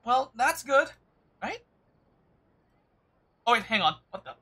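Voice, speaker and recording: A man streaming a game, reacting casually and musing aloud in a hesitant, halting way. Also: very high-pitched, very animated, bright, forceful tone, slightly rough.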